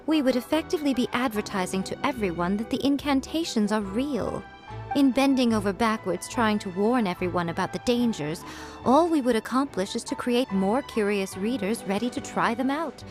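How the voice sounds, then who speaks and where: A woman's voice: fairly high pitch, lightly acted. An actress voicing a sly, confident woman who runs a publishing house, speaking in a teasing, composed way. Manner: smug, teasing, composed and persuasive. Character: sly, confident adult woman editor, playful and scheming. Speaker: chief editor of a publishing house. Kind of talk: game voice acting.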